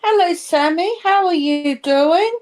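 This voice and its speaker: A woman's voice: extremely high pitch, unhurried. A woman chatting casually in short greetings and interjections.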